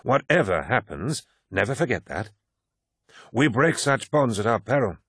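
A man voicing an old male veteran warrior who rambles and lectures in a folksy, gently patronizing way, with a husky voice. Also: medium pitch, big pitch swings, normal speed, no room sound, studio quality, voice pushed up, full character voice. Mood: folksy lecturing. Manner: folksy, lecturing, calls listener boy.

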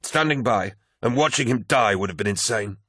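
Man doing a solemn counsellor, raised husky voice. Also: medium pitch, animated, normal speed, a bit bright, dry recording, clean studio sound, pitched above the performer's own voice. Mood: reproachful. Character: solemn, reproachful limping male warrior counsellor.

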